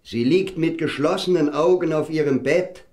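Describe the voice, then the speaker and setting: A man's voice: fairly high pitch, moderate pace, dark tone, lightly acted. An actor playing a solemn, worried king and father in a fairy-tale radio play, speaking formally and declaiming. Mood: distressed, pleading.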